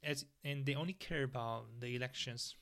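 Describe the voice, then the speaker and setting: Gravelly-voiced man hosting a solo podcast. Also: medium pitch, moderate pace, fairly bright tone. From Taiwan. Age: 40s. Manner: earnest, plain, with frequent restarts in non-native English. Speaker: podcast host.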